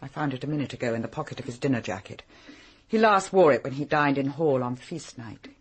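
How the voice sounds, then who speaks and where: A woman's voice: rumbling low, lively intonation, quick pace, husky, full character voice. An actress playing a bitter, vengeful mature mother in a radio drama, blunt and intense.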